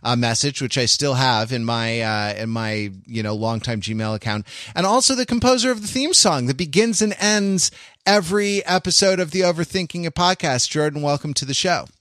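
Rambling, higher-pitched man hosting a podcast. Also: animated, a bit bright, no echo, studio quality. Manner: rambling, halting, self-correcting. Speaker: podcast host. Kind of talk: casual joking podcast chat.

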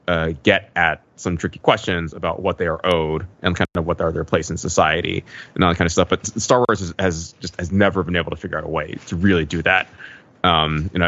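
A man chatting casually as a podcast panelist, in a halting, measured way with trailing asides. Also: deep voice, fairly bright tone, dull audio. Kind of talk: casual discussion of TV.

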